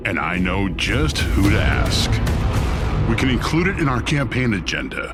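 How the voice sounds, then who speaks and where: Wry devil boss, low-pitched and highly animated, theatrical wordplay, audio drama villain. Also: male voice, moderate pace, over loud music. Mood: businesslike, wry.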